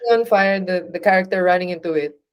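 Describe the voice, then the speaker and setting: A woman hosting a podcast interview, responding warmly and encouragingly with frequent affirmations. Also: on the low side, quick pace, clear, resonant tone. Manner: warm, affirming, frequent backchannels. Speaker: podcast host. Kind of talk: casual interview conversation.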